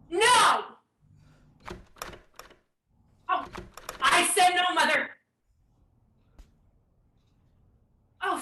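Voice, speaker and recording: Heard from off mic in an echoey room, a woman acts out an uptight, exasperated grown daughter in a stage play. The voice is very high, with clipped, protesting delivery. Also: bright, forceful tone, recorded from a distance, exaggerated voice. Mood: alarmed, pleading. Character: exasperated, uptight adult daughter and busy mother.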